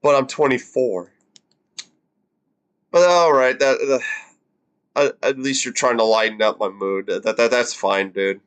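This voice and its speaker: A man's voice: mid-range voice, fairly even intonation, a little slow, pauses often, smooth. A man in his twenties on a live stream, reacting casually and rambling with lots of fillers and the odd swear word. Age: 20s.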